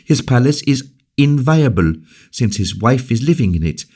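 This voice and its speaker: A man's voice: sits low, steady tone, normal speed, mellow, voice pushed up, lightly acted. A man reading a novel aloud, voicing a young noble lady at court who pleads with fervent, principled conviction in a raised voice. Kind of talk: audiobook character reading.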